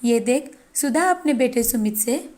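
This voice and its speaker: A woman's voice: on the high side, lively intonation, measured pace, darkish tone. A woman narrating a scripted moral story as a storyteller.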